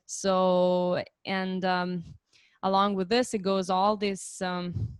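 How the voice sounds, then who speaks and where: A woman's voice: on the low side, little pitch movement, takes it slowly, clear, resonant tone, no room sound, studio quality. A woman, a musician answering interview questions thoughtfully with frequent hesitations over a video call. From Lithuania. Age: 30s. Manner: thoughtful, hesitant, many fillers. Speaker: musician guest. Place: home, online interview.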